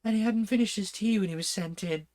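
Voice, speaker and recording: A man voicing a fussy, excitable old king in a storybook read aloud, in a high, fluttery, exclaiming voice. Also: fast talker, lightly acted.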